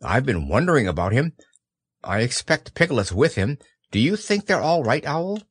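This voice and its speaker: A man's voice: mid-range voice, big pitch swings, moderate pace, husky, no room sound, studio quality. A man voicing an eager, caring young storybook boy with an earnest, exclamatory manner. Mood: worried, affectionate.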